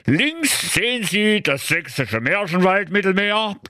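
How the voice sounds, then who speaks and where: Man playing a bright, drawling wolf pilot. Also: high voice, sing-song, unhurried, no echo, studio quality, pitched above the performer's own voice, exaggerated voice. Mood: smug, rambling. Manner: drawling, hesitant, bumbling announcement. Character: rambling sly wolf posing as airline pilot. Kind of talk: comedic fairy-tale storytelling.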